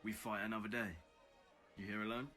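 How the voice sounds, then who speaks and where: Guy acting a wary british rebel mc, lowish voice, curt, audio drama, bit of music under it. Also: level tone, a little fast, sharp tone. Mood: brusque, testing. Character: wary, brusque underground club MC, hardened rebel.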